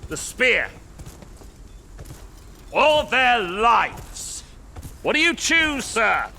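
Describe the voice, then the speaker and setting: Game villain, male: pompous abbey lord, slow and swooping, oozing smug mock courtesy. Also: very high-pitched, very animated, vocal fry, sharp tone, full character voice. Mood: gloating, menacing. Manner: mock-polite, pompous, drawling. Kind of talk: video game cutscene acting.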